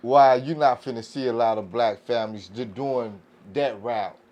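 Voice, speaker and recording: A man's voice: mid-range voice, steady tone, normal speed, a little mellow, highs cut off. A man in a casual podcast panel discussion, talking in informal slang and often checking that listeners follow him. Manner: informal slangy talk, repeats "you know what I'm saying".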